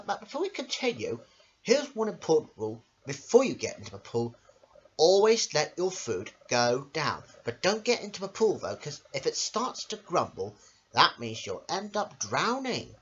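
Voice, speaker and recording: A woman reading a children's story aloud in a theatrical, emphatic way, sometimes stumbling over words, on a home recording. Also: on the low side. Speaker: storyteller on own channel. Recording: muffled, hissy home recording.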